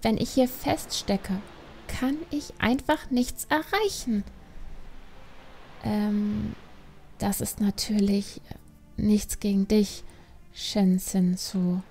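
A woman's voice: medium pitch, fairly even intonation, takes it slowly, long pauses, mellow, faint music behind. A woman playing a restless, defiant young panda adventurer in a dramatised fantasy audiobook, emotional and reproachful. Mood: wistful, frustrated.

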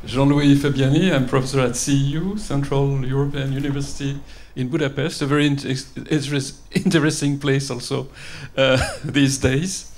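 Gravelly-voiced male professor. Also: medium pitch, fairly even intonation, moderate pace, few pauses, darkish tone. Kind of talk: conference self-introduction.

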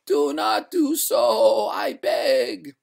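A narrator voicing a genteel, haughty female water ghost in a storybook, pleading in drawn-out, breathy, melodramatic wails. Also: medium pitch, big pitch swings, voice pushed up, full character voice. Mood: desperate pleading.

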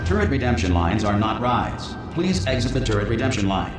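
An actor playing an impassive automated factory announcer in a video game, speaking in clipped, formal, procedural phrases. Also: male voice, on the low side, very animated, brisk. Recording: echoey factory announcement, loud music behind.

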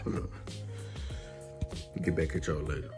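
A teenage boy talking to a handheld camera as he walks through school, loose and slangy, teasing and laughing. Music plays clearly behind him. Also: unhurried. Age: teens. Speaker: vlogger and street interviewer. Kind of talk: casual vlog chat and joking interviews. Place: school hallways and grounds.

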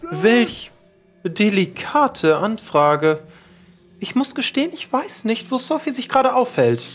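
A man plays a prim manor housekeeper woman, pitched up and very proper. She speaks formally and with measured disapproval, in an audio drama over a music bed. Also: very animated, heavily acted. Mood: polite. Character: prim, discreet female housekeeper of an English manor.

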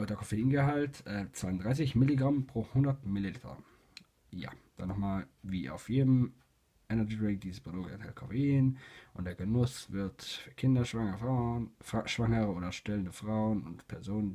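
A young man with a cold, casually reviewing a product and talking in a halting way with many fillers and restarts. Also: fairly low pitch, warm tone. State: has a cold. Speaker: YouTube reviewer. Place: indoors at a table, voice-over with hands on camera.

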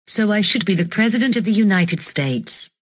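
A woman playing a pushy little would-be president in a comedy skit, with a husky voice and a blunt way of talking. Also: medium pitch, expressive, normal speed, natural voice. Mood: insistent. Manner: blunt, insistent. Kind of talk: scripted comedy skit dialogue.

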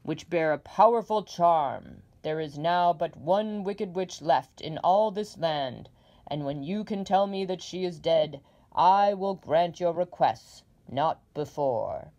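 A woman voicing a giant disembodied wizard head that speaks in slow, loud, stern demands. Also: fairly low pitch, lively intonation, highs cut off. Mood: commanding. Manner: slow, loud, imperious proclamations.

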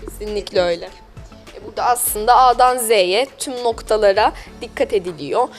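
A woman's voice: mid-range voice, brisk. A woman hosting a local TV interview in a chatty, polite, wordy presenting style. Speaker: TV programme host interviewing business owners. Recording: music behind the voice. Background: background music.

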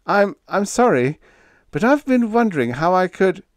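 Man as a high-voiced, nervous, earnest lieutenant. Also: normal speed, no echo, clean studio sound. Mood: sheepish. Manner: faltering, pleading, excitable. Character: gangly, nervous, earnest young spaceship lieutenant.

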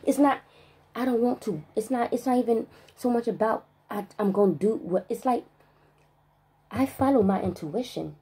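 A woman vlogger in a spiritual rant monologue, emphatic and profane, stressing single words with long pauses. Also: a little high, warm tone, slightly rough, rough recording.

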